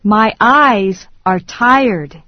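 Slow, deliberate woman reading English. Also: medium pitch, no room sound. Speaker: English phrase reader. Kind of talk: slow repeat-after-me English drill. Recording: dry, dull lo-fi studio read.

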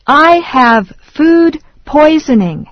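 Slow, deliberate woman reading English. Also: sits high, lively intonation, no room sound. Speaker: English phrase reader. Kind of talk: slow repeat-after-me English drill. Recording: dry, dull lo-fi studio read.

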